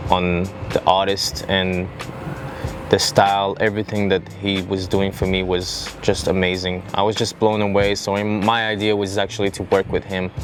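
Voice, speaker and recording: A deep-voiced man from Brazil speaks as a musician in a casual interview. His delivery is informal and a bit rambling, with sentences that trail off. Music is clearly audible underneath him. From Brazil, half French. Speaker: musician and producer in a band.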